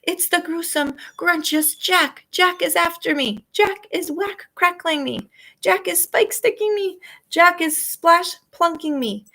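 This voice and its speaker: A woman's voice: lively intonation, moderate pace, creaky, fairly bright tone. A woman voicing a huge male giant who screeches and begs in terror during a nightmare, in a storybook reading. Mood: pleading. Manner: shrill, pleading, frantic.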